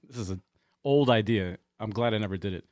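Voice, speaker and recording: A man co-hosting a podcast in casual chat, easygoing and agreeable with animated exclamations. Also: fairly low pitch, a little fast, dry recording, studio quality. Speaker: podcast co-host.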